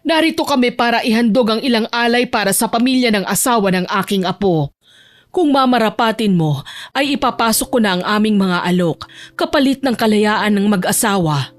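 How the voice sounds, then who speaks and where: A woman's voice: fairly high pitch, lively intonation, brisk, lightly acted. A woman voicing a dignified, powerful elderly grandmother with a formal, commanding manner in an audio drama. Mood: composed authority.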